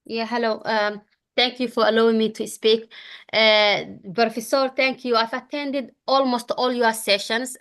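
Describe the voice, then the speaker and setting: A woman's voice: on the high side, a bit bright. A woman student on a video call asking a question politely and earnestly. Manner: polite, earnest, a little halting. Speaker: student in the class.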